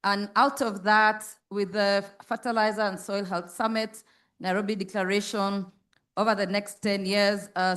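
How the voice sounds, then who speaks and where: Measured woman panelist on stage. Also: mid-range voice, fairly bright tone. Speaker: panelist, development executive. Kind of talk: self-introduction on a conference panel. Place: conference stage before a live audience.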